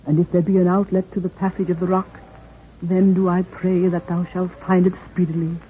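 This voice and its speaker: An actor playing a gracious, solemn monarch of a lost people, speaking in stately archaic formality in a 1930s radio drama. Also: male voice, high voice, little pitch movement, a little fast, natural voice. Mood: solemn farewell. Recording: tinny, noisy 1930s radio recording.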